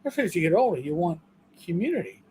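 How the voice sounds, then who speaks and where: A man in his fifties co-hosting a livestream in casual, rambling chat, self-deprecating and prone to halting restarts. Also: high-pitched, big pitch swings, mellow, slight background noise. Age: 50s. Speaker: livestream co-host.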